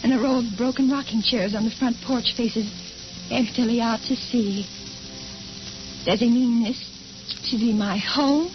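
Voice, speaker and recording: An actress playing a frightened, anxious wife in old-time radio suspense style, nervous, hesitant and pleading. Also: female voice, a little high, steady tone, a little slow, pauses often, music in the background, natural voice. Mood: troubled, despairing. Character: frightened, anxious wife trapped with sinister husband. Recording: noisy, hissy, muffled old radio recording.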